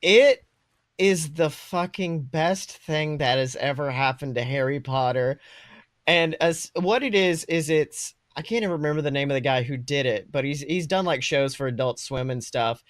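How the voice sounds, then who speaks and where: A man's voice: on the high side, normal speed, no room sound, studio quality. A man in his twenties chatting casually as a podcast co-host, telling stories in an emphatic, irreverent, sweary way. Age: 20s. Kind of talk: casual chat, animated storytelling.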